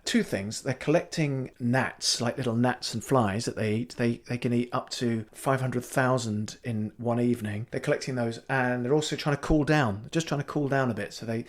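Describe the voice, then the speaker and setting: A man improvising a fake life story as a comedy podcast guest, rambling in a deadpan way with many restarts. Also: mid-range voice, normal speed, hardly any pauses. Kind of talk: improvised comic interview.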